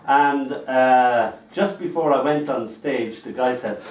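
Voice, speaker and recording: A man's voice: medium pitch, slow pace, slight background noise. An Irish man giving a live poetry reading, telling wry, chatty stories between poems. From Ireland. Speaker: poet. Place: small live performance venue. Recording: echoey room picked up from a distance.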